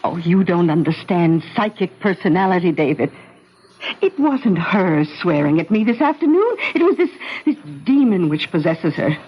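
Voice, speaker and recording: An actress playing a gentle, credulous, frail older aunt in a 1970s radio drama. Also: female voice, on the low side, very animated, moderate pace, husky, muffled recording. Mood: earnest. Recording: slightly noisy vintage radio recording.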